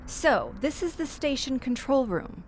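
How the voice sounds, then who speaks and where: A woman's voice: high-pitched, very animated, unhurried, a little hiss or hum. An actress playing a curious, polite young woman writer, speaking with careful enunciation in an old-time radio horror drama. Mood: curious, impressed.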